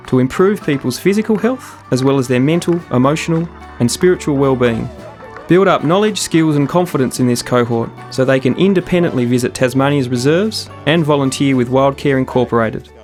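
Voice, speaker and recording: A man, a parks program officer, explaining clearly in a documentary interview. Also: medium pitch, fairly quick, darkish tone, faint music behind.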